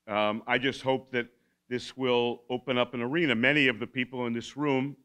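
An older man giving an informal keynote talk at a lectern in a school hall, halting, with many fillers and restarts and wry asides. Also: mid-range voice, steady tone, pushed and bright, clean tone. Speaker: keynote speaker. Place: school hall with a seated audience. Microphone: podium mic, standing at lectern.

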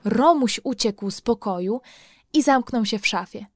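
A woman's voice: fairly high pitch, animated, measured pace, dry recording, clean studio sound. A woman hosting a children's programme, telling stories playfully and speaking straight to her young listeners. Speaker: host and storyteller of a children's programme. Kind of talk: storytelling for children.

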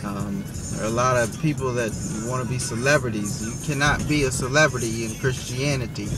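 A young man driving and talking into his phone, preaching earnestly about faith with pauses and restarts. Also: mid-range voice. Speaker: vlogger. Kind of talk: casual preaching while driving. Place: inside a moving car. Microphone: phone held or mounted on the dashboard. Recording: phone recording with loud music over it. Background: loud music playing in the car.

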